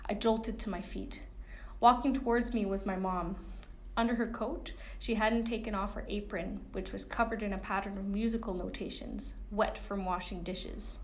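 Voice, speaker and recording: Woman, narrative book reading, first person, medium-pitched voice. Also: steady tone, normal speed, a little hiss or hum, poor audio. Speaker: reader of a book excerpt.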